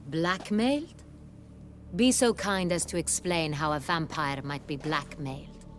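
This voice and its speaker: A woman's voice: low-pitched, unhurried, slight background noise. A woman playing a proud, imperious duchess in a video game, formal and commanding in manner. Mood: stern.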